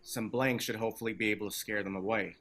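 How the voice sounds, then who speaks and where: A man's voice: lowish voice, steady tone, quick pace, fairly bright tone, lightly acted. A man acting in a table read as a cocky, casual activist who carries a rifle. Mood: cool bravado. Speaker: actor in a screenplay table read.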